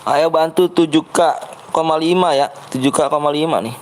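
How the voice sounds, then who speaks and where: A young male game streamer with a Betawi accent and a somewhat raised pitch. He chats with viewers in a brash, blunt way that he says comes across as rough, and keeps pushing them to like and subscribe. A faint hum of noise runs under his voice. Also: moderate pace, a little mellow. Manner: blunt, brash delivery he himself calls harsh-sounding. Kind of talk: live gaming stream chat.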